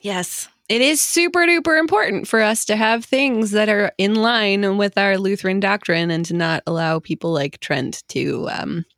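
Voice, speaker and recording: A woman talks informally as a podcast co-host. Her manner is agreeable, her pitch is average, and the sound is close and dry. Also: normal speed, few pauses, a bit bright, no echo. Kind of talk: casual chat.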